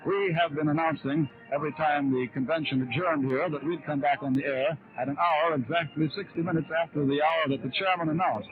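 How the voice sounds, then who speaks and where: An American man giving formal, measured live radio commentary as a news announcer at a 1930s political convention, on an old radio broadcast. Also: fairly high pitch. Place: convention hall broadcast position. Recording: scratchy, narrow-band 1930s radio broadcast.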